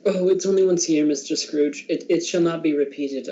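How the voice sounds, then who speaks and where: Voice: mid-range voice, fairly quick, mellow, natural voice. An actor playing a meek, nervous male clerk in a radio play, timid and stammering as he addresses his boss. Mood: apologetic. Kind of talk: school radio drama acting. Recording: muffled lo-fi home recording.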